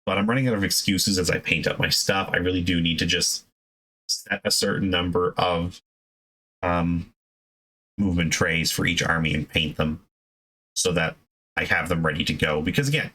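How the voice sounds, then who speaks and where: Low-voiced man chatting casually about his hobby. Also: fairly bright tone, poor audio. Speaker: hobby video host. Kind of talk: casual chat, unscripted hobby advice.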